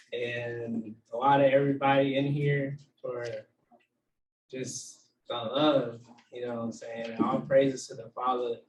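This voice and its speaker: A man teaching a casual Bible study to a small group, with a low voice and plenty of fillers. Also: darkish tone, a little hiss or hum. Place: room with a small group, also streamed online. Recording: echoey room, far from the mic.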